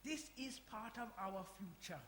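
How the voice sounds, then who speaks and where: An actress playing a firm, pragmatic, defensive older community organiser in a radio play. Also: female voice, medium pitch, expressive, a little slow, a bit bright. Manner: firm, defensive, matter-of-fact. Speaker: actor in a live radio play. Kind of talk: radio drama acting before an audience. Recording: noisy, echoey reverberant hall.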